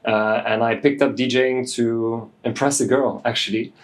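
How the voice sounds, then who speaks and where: Man, late twenties, French-born, raised in Hong Kong, relaxed, thoughtful, middle pitch. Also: normal speed. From France, raised in Hong Kong. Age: 20s. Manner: relaxed, thoughtful, many fillers. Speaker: DJ guest. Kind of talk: casual interview.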